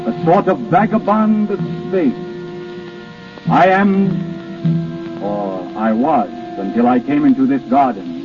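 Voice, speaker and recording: Casting: Death personified, lonely and stately, highish voice with sweeping inflection, 1930s radio drama. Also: male voice, big pitch swings, music in the background. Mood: grave, reassuring. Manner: grand, deliberate, formal. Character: Death personified, weary, lonely, courtly supernatural visitor. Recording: muffled 1930s radio broadcast recording.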